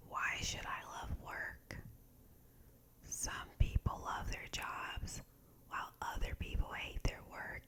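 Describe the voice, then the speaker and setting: A woman whispers her way through a guided meditation reading in ASMR style. Her voice is breathy and fully unvoiced. She phrases softly and deliberately, at a very unhurried pace. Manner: soft, slow, deliberate phrasing. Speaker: ASMR host reading aloud from a meditation book.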